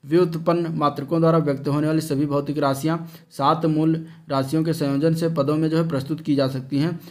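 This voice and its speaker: Guy coaching for exams, slightly high voice, reads notes out loud, kinda halting on technical words. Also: flat delivery. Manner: halting reading, stumbles over technical terms. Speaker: exam coaching teacher. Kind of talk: lesson reading study notes aloud with brief asides.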